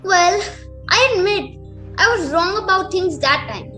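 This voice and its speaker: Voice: extremely high pitch, expressive, a little slow, pushed and bright, soft background music, heavily acted. A performer playing a nervous, imaginative young boy in an amateur radio play, protesting hesitantly. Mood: doubtful, protesting.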